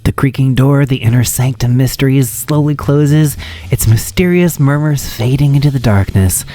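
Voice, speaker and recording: Mellow male radio host, theatrical. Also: medium pitch, moderate pace, no room sound, soft background music. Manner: theatrical, alliterative announcer delivery. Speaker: host of an old-time radio show. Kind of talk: scripted dramatic narration.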